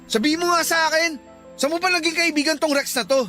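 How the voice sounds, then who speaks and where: A man's voice: very high-pitched, fairly even intonation, quick pace, loud music behind the voice. A man playing a hurt, suspicious boyfriend in a Filipino radio drama, insistent and earnest, with a Filipino accent.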